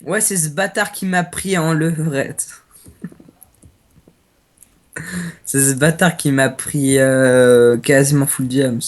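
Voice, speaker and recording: A young man with a cold chatting slowly and crudely while gaming, hesitant with fillers. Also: medium pitch, steady tone. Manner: slow, hesitant, crude banter. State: stuffy, has a cold. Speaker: gaming guest. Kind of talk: casual gaming chat.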